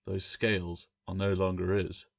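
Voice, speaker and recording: A man voicing a grim, wary pirate captain in a fantasy audiobook, measured, ominous and firm. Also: sits low, level tone, creaky. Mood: grave, wary.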